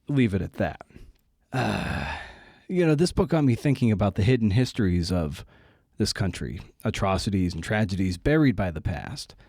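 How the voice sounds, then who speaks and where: A man's voice: fairly low pitch, warm tone. A man hosting a podcast and interviewing, speaking in a casual, informal, slangy way.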